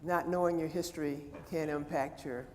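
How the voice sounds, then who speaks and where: A woman presents informally to a gathering in a halting, repetitive way. Her voice rings in a large hall, with faint noise behind it. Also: deep voice, fairly even intonation, a little mellow. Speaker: presenter of a history talk. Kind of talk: informal public presentation with audience questions. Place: large hall at a church program.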